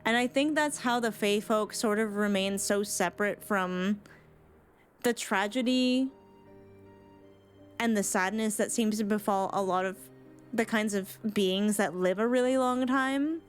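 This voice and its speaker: A woman's voice: medium pitch, measured pace, soft background music. A woman streamer commenting casually and fondly on a game, swearing now and then. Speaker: streamer reacting to game cutscenes.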